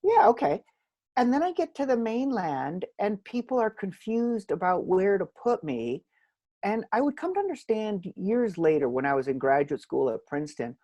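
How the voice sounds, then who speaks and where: A man's voice: high voice, very animated, moderate pace, dark tone, dry recording. A middle-aged man from Hawaii, a law professor answering interview questions thoughtfully, with frequent restarts and rhetorical asides.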